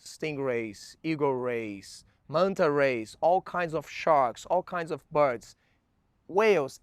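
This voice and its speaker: A man's voice: a little high, no echo, clean studio sound. A young man from Brazil, a native Portuguese speaker with a Brazilian accent, presenting a coastal weather report in enthusiastic, slightly broken English. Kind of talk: casual presenting.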